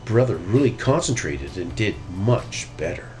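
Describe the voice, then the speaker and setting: Low-voiced, animated male storyteller. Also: measured pace, faint music behind. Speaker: storybook reader. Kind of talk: animated children's story narration.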